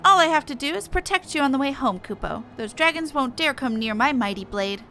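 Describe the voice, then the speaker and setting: A woman's voice: high-pitched, animated, fairly quick, bright, forceful tone, soft background music, full character voice. A woman voicing a boastful, gallant little male moogle adventurer from a video game, with a pompous manner. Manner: pompous, florid heroic speech. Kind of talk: reading game dialogue in character.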